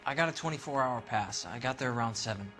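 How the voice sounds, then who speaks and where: An actor playing a brash, defensive young 1940s sailor under questioning in a video game, talking in blunt slang. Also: male voice, medium pitch, fast, bright, forceful tone, soft background music, natural voice. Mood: uneasy. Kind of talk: video game cutscene acting.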